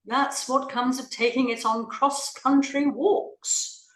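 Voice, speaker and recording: A woman's voice: high voice, fairly even intonation, takes it slowly. A woman playing an imperious, irritable wealthy lady in a play reading, bossy and haughty as she gives orders and complains. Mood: angry. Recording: roomy, echoing room.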